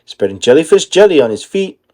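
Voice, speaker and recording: A man narrating a children's picture book aloud in an expressive storytelling style. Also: fairly high pitch, fairly quick.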